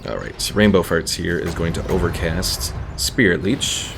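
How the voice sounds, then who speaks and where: A man giving relaxed, casual live game commentary as a streamer, thinking aloud as he plays. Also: low-pitched, measured pace, vocal fry, noisy background. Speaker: gaming streamer. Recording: game music behind the voice.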